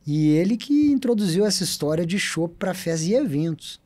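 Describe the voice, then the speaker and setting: A man in his thirties with a Minas Gerais accent, a podcast guest telling his story in an animated, talkative way. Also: sits high, moderate pace. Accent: Minas Gerais Portuguese. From Brazil. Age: 30s. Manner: chatty, animated storyteller who loves to talk. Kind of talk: casual storytelling interview.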